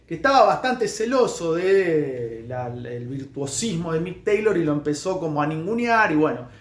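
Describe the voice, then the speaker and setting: An Argentine man with a fairly high voice speaks in an echoey room. He chats informally like a music vlogger, haltingly, wandering off track and correcting himself as he goes. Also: lively intonation. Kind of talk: casual informal music history talk to camera.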